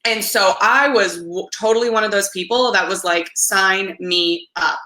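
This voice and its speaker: A young woman, slightly hoarse and raspy, hosting a weekly live business show with enthusiastic, encouraging talk. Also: mid-range voice, bright, forceful tone, roomy sound, rough recording. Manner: enthusiastic, frequent laughs, encouraging. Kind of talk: upbeat livestream talk.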